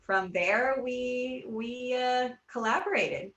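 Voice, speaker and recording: A woman's voice: on the high side, slow pace, dull audio, background noise. A woman in her thirties from Puerto Rico, an animation creator answering interview questions earnestly, with many fillers and restarts. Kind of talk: casual interview. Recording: roomy echo.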